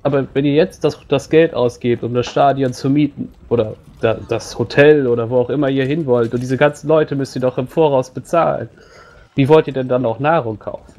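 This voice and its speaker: A man's voice: mid-range voice, fairly even intonation, brisk, a little mellow. A man talking casually in character at a tabletop roleplay, blunt and colloquial. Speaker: tabletop role-player.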